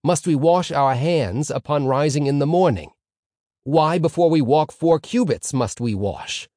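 A man voicing a pompous older rabbi teacher in an audiobook story, declaiming with dramatic pauses and rising questions. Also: a little high, expressive, warm tone, no room sound, clean studio sound, pitched above the performer's own voice. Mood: declaiming, questioning.

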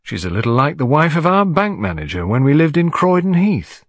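A man's voice: a little high, very animated, brisk, no echo, pitched above the performer's own voice. A man voicing a prim, efficient, unimaginative middle-aged woman secretary, literal, polite and matter-of-fact, in an audiobook story. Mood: unimpressed.

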